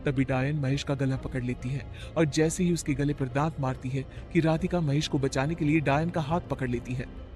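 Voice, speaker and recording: A man narrating a cartoon horror story in a steady storytelling manner. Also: medium pitch, moderate pace, faint music behind.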